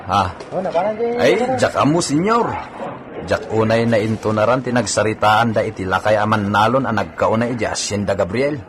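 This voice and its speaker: A man playing a lively, teasing servant on a hacienda in a radio drama, with a husky voice. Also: fairly low pitch, moderate pace, a crowd in the background, lightly acted. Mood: deferential. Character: lively, teasing male hacienda servant, deferential to his masters. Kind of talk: Ilocano radio drama acting.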